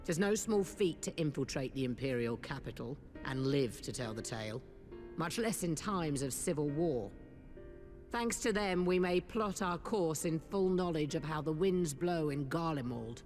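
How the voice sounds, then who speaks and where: Stately leader in a reverberant hall. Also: female voice, low voice, animated, a little mellow, soft background music. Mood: composed, grave. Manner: formal, deliberate. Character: stately, composed leader opening a war council. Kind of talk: fantasy game acting.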